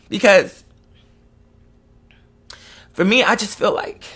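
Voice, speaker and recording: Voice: medium pitch, very slow, a bit bright, husky. An online host in their twenties speaking candidly and emotionally to followers, with emphatic, slangy, profane phrasing and repetition for emphasis. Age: 20s. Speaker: online content creator and live show host.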